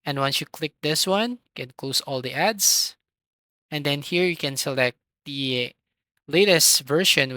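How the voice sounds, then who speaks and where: A tutorial host giving step-by-step software instructions in an instructional manner, with a voice recorded close to the microphone. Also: medium pitch, no echo.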